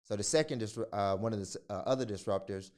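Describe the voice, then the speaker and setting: A man's voice: lowish voice, fast talker, a little mellow, clear, resonant tone. A man hosting a podcast interview, asking questions with a lot of hesitation and fillers. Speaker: podcast host. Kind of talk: casual interview.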